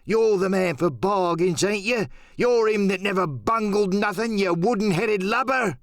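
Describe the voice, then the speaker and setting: A man's voice: sits high, unhurried, no room sound, studio quality, pitched above the performer's own voice. A man voicing a furious, mocking pirate mutineer, roaring out taunts in an audiobook drama. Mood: furious, scornful.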